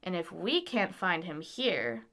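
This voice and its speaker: A woman's voice: fairly low pitch, sing-song, takes it slowly, a bit bright, exaggerated voice. A woman acting in an audio drama as a blunt, pragmatic young traveler, frank and informal, exasperated but caring. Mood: firm, reasoning.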